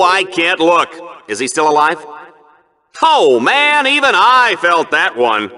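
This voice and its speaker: A manic combat-sport announcer for a video game. His voice is high and very bright, built for forceful hype. He draws out his words theatrically at a slow, milked pace, relishing the carnage like a gleeful showman. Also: heavily acted. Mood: mock concern. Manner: bombastic, theatrical, drawn-out exclamations. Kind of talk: over-the-top sports commentary.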